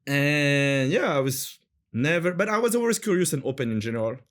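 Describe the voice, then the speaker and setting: A man's voice: a little high, lively intonation, brisk. A man in his thirties from France with a French accent, chatting casually as an interview guest, searching for words with many fillers and restarts.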